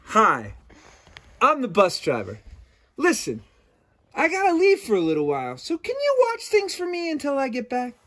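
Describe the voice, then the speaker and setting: A man playing a friendly, brisk male bus driver from a storybook, addressing the listener directly. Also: very high-pitched, wildly swooping pitch, a bit bright, pitched above the performer's own voice, exaggerated voice.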